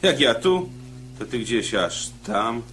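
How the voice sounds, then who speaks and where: A gruff, stubborn male convict in a radio drama. He grumbles and exclaims irritably, his pitch swinging widely from phrase to phrase. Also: sing-song, slow, a bit bright. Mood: grumbling, stubborn.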